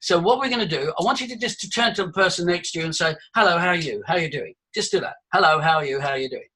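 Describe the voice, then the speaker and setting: Male voice coach, husky tone, fairly high-pitched, demonstrative and breathy. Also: rapid-fire, bright, forceful tone, poor audio. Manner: breathy, demonstrative, leads vocal exercises. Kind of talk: live workshop teaching.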